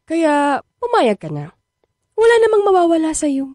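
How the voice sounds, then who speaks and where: A woman's voice: very high-pitched, wildly swooping pitch, a little mellow, dry recording, clean studio sound, exaggerated voice. An actress playing a playful, persistent young woman in a radio drama, coaxing and teasing, with a Filipino accent. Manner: coaxing, teasing, drawn-out words. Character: playful, persistent, sweet-talking young woman wanting a baby.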